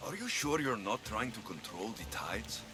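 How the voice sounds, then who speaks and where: A man's voice: on the low side, sing-song, moderate pace, over music. An actor playing a cocky, sardonic male mercenary in a video game cutscene. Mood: dry teasing. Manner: dry, sardonic, bargaining. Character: cocky, wry male mercenary in ancient Greece.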